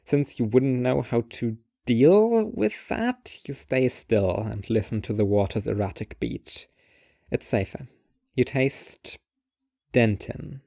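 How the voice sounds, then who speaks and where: A man's voice: medium pitch, expressive, slow pace, creaky, dark tone, dry recording, studio quality. A man reading scripted literary narration as the narrator of a second-person story.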